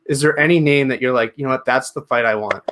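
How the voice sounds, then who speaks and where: Casual male podcast host, medium-pitched voice. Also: fast, a bit bright, slight background noise. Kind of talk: casual interview chat.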